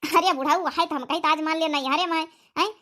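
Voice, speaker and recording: A woman as a shrill-high nagging Awadhi village mother. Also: steady tone, fast talker, pushed and bright. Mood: scolding. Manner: nagging, repetitive. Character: scolding, nagging village mother of a grown daughter. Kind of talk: cartoon comedy dialect acting.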